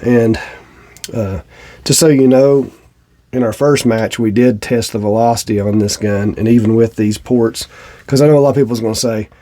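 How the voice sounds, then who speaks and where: A man's voice: mid-range voice, fairly even intonation, normal speed, dark tone. A middle-aged man with a Southern US accent and a slightly stuffy nose, chatting plainly and casually to viewers in a review. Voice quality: slightly congested, stuffy-nosed. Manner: plainspoken, folksy. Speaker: shooting channel host.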